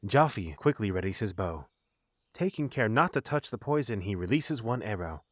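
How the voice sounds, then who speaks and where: A man's voice: on the low side, expressive, normal speed, dry recording, studio quality. A man reading scripted narration for a fantasy audio drama.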